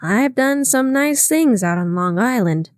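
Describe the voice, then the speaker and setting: A woman's voice: on the high side, expressive, unhurried, no echo, studio quality, voice pushed up. A woman reading aloud, voicing an earnest, self-promoting male photographer. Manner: earnest, eager.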